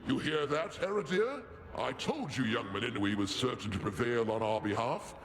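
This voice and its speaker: A man's voice: mid-range voice, animated, normal speed, a bit bright. Swooping, pompous king of gods. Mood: jubilant. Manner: pompous, theatrical proclaiming. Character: jovial, grandiose king of the gods. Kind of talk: scripted video game voice acting. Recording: music behind the voice.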